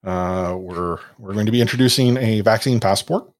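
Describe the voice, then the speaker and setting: A man hosts a casual podcast, talking into a podcast mic. His voice sits a bit low, and he rambles with frequent fillers, restarts and self-deprecating asides. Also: normal speed, dry recording, clean studio sound. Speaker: podcast host.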